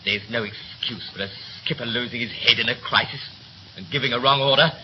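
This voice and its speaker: An actor in a 1930s radio play as a young third officer, brisk and slangy, chatty yet scornful and resentful. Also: male voice, medium pitch, natural voice. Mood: bitter, indignant. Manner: brisk, breezy, clipped slangy speech. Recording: muffled, hissy 1930s radio recording.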